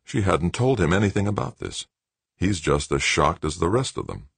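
Narrator guy as brooding business man thinking to himself, wry, really low husky voice. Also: lively intonation, moderate pace, no echo, exaggerated voice. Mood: quiet surprise. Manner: inward, musing, wry.

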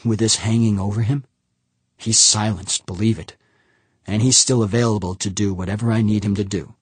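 A man's voice: on the low side, fairly even intonation, moderate pace, breathy edge, no echo. A man voicing a shaken male executive who gives terse, clipped orders. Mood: grim.

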